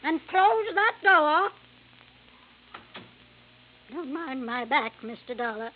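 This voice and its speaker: A thin vintage radio recording carries an elderly matriarch. Her highish voice is crotchety, commanding and blunt, in old radio-drama style. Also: lively intonation, slow pace. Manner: curt, commanding, cantankerous. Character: imperious, blunt, crotchety elderly blind grandmother. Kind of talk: 1950s American radio drama acting. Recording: tinny vintage radio with faint noise.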